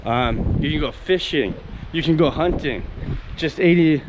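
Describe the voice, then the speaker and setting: A man's voice: on the high side, dull audio, background noise. A young man from Canada chatting casually on camera as he walks outdoors, rambling and enthusiastic with many fillers and restarts. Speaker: vlogger. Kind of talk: casual walk-and-talk vlog. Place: outdoors on a coastal town street.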